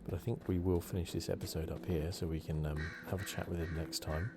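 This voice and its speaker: A soft-spoken man giving relaxed, mildly chatty ASMR commentary as he plays a video game. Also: sits low, fairly quick. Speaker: gaming channel host.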